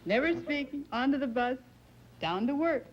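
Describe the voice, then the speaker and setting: A woman, a quiz show contestant, telling a joke in a giggly, flustered, halting way. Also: high-pitched, animated. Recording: dull, very noisy broadcast with loud studio audience.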